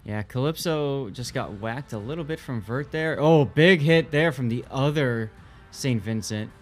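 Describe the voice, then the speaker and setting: Casual male play-by-play caster, medium pitch. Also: measured pace, faint music behind. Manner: casual, colloquial. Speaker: esports co-commentator. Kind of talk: live play-by-play game commentary.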